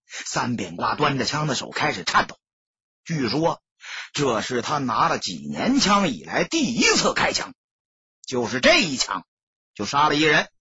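A man narrating a crime story as an audiobook, in a theatrical, emphatic storytelling style with a husky, raspy voice. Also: mid-range voice, huge pitch swings, unhurried, bright, forceful tone, no echo, dull audio.

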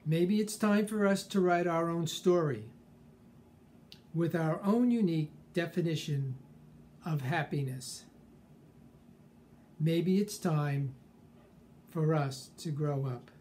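Slow-talking older man with a mellow voice. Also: a little high, slight background noise. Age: 60s or older. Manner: deliberate, measured phrasing with pauses. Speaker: personal growth speaker. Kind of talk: reflective scripted monologue. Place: small furnished room at home, indoors.

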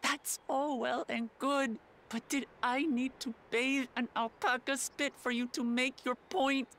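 An earnest young warrior princess from a video game. Her voice is fairly high and forceful, paced unhurriedly, plucky and candid, over light music. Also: steady tone, a little slow, a little hiss or hum. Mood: annoyed. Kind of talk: video game cutscene acting.

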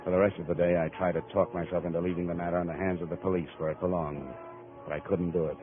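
An American man playing a cool, probing insurance investigator in clipped, deadpan 1950s radio acting. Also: low-pitched, quick pace, loud music behind the voice, natural voice. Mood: cool narration. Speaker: lead radio actor, narrator. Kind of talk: 1950s radio detective acting, first-person narration. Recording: muffled old radio recording, tinny.

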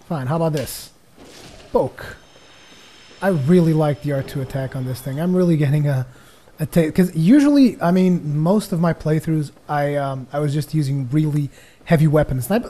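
A young man from Portugal, a native Portuguese speaker, giving jokey rambling let's play commentary on a headset as his voice grows tired and hoarse. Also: fairly high pitch, warm tone. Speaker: gaming commentator.

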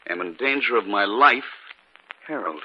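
An actor playing a steady husband caught in an eerie haunting, with deliberate, dramatic 1950s radio delivery. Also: male voice, on the low side, natural voice. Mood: puzzled. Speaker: actor narrating and playing the lead. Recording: dull, noisy 1950s radio recording.

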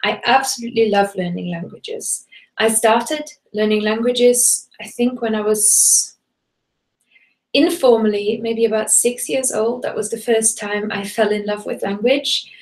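A woman's voice: a little high, steady tone, a little slow, low-quality recording. A woman from Germany, a native German speaker, teaching German in a chatty, enthusiastic live online class and greeting viewers from the chat. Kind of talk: live bilingual webinar.

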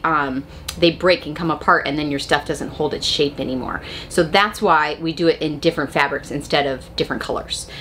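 An American woman with a slightly low voice talks to camera in a chatty, emphatic way. She sounds like a vlogger explaining practical tips in a small indoor space, with clear ambient noise behind her. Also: fairly bright tone. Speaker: vlogger sharing RV living tips. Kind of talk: casual instructional vlog talk to camera. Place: inside an RV living space and bedroom.

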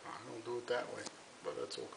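A man's voice: mid-range voice, big pitch swings, fast, vocal fry, reverberant, noisy background. A man helping out in a home kitchen, chatting offhand and explaining how he cooks it his own way. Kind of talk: casual kitchen chat.

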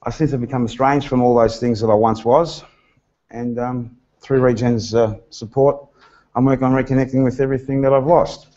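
A man's voice: medium pitch, fairly even intonation, warm tone. Frank man speaking, slightly dull recording. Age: middle-aged. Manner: frank, deliberate phrasing. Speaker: guest speaker giving personal testimony. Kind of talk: prepared personal testimony to a seminar. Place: small indoor seminar room. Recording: dull lo-fi seminar webcast sound.

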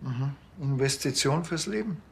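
An actor playing a laconic, deadpan small-town policeman with a Bavarian accent in a TV crime comedy. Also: mid-range voice, unhurried, gravelly, slight background noise. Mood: dry, matter-of-fact. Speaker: police officer.

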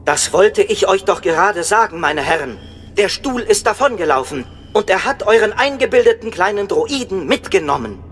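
An actor playing a fussy, polite, indignant protocol droid in an audio drama, speaking formally. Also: male voice, high-pitched, animated, moderate pace, a bit bright, long reverb tail, soft background music. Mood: fussy, urgent.

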